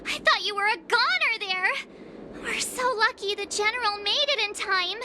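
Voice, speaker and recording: A woman's voice: very high voice, moderate pace. A woman voicing an anxious, tender-hearted young girl in a video game cutscene, girlish and emotional. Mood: anxious relief. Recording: clear noisy ambience behind voice.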